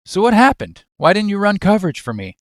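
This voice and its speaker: A man acts a squeamish, aggrieved office worker in a comic scripted drama. He sounds fussy and melodramatic, fairly high in pitch, in a dry recording. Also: animated, moderate pace, no echo, close to an everyday voice. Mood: indignant. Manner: indignant, melodramatic.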